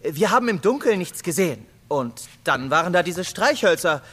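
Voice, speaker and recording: A man's voice: sits high, big pitch swings, moderate pace, fairly bright tone, big-room sound, slight background noise. An actor playing an eager, easily flustered boy in a children's audio drama. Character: eager flustered boy adventurer, quick to explain.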